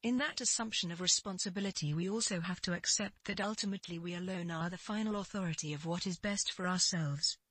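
A narrator reads a scripted spiritual advice reply in an earnest, measured and emphatic manner. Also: mid-range voice, a bit bright, husky, no room sound. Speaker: spiritual advisor answering a letter.

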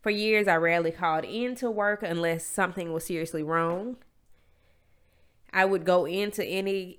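A woman's voice: lowish voice, fairly bright tone, clear, resonant tone. Congested woman hosting a candid podcast. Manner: candid, emphatic, motivational. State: congested, stuffy nose. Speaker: podcast host. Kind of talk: solo podcast monologue.

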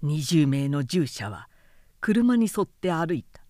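Slow, expressive woman narrator. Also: sits low, no room sound, studio quality. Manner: measured, expressive storytelling. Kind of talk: scripted literary narration.

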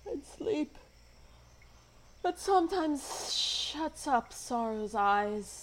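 A woman's voice: high-pitched, lively intonation, extremely slow, exaggerated voice. A woman playing a lovelorn, sorrowful young lady in a Shakespearean radio play, lamenting wearily in verse. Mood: weary, sorrowful.

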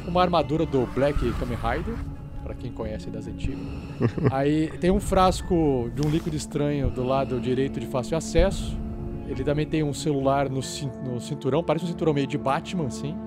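A man's voice: medium pitch, fairly quick, music in the background. A man playing a tabletop game, improvising casual narration about his character.

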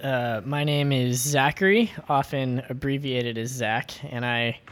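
A man's voice: medium pitch, measured pace, a bit bright, smooth. A young man from Washington, D.C., a band member, chatting on a podcast and hesitating often with fillers. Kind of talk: casual podcast chat.

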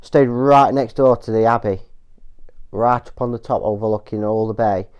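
A man, croaky from a cold, chatting dryly on a family podcast as co-host. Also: on the low side, normal speed, darkish tone. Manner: dry, joking. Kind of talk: casual chat. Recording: dull lo-fi podcast audio.

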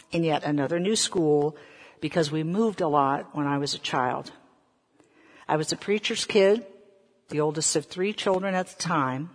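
An American woman in her fifties from the South, a preacher telling personal stories in a deliberate manner and putting questions to her listeners. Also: low-pitched. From Southern United States. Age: 50s. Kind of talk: sermon with personal storytelling. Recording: echoey hall with long reverb.

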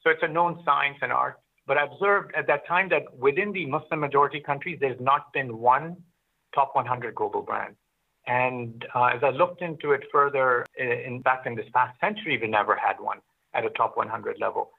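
A middle-aged man speaks as an interview guest, with fry running through his voice. He recounts his experiences reflectively, often restarting and repeating words. The sound is narrow and thin, like a phone line. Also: medium pitch, normal speed. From Pakistan, grew up in Oman. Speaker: interview guest, business founder. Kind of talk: conversational personal storytelling.

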